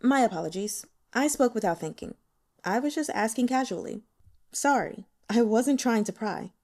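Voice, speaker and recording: Gentle scholarly man, played by a female reader, courteous and considerate, lively melodic phrasing, storybook dialogue. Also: a little high, normal speed. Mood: polite, apologetic. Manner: courteous, softly apologetic small talk. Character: polite, gentle male university teacher, considerate and scholarly.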